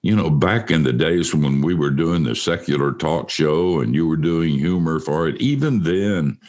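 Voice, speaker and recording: An older man with an extremely low, gravelly voice, hosting a relaxed studio conversation. He tells personal stories in a rambling, good-humored way. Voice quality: deep voice. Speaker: host. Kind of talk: casual studio chat.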